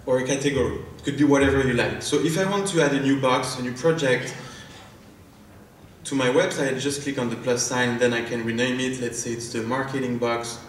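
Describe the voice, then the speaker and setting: A man's voice: mid-range voice, fairly quick. A man with a French accent, a startup founder giving a live product demo on stage, hesitant, with many fillers and restarts. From France. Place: large conference hall. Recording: echoey hall from far off, faint noise.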